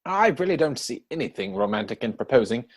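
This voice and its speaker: A man acting a witty, idle young gentleman from a period comedy. His voice is slightly husky and swings widely in pitch, with arch, playful self-satisfaction in a theatrical reading. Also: very animated, quick pace. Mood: witty, pompous. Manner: mannered, arch, theatrical delivery.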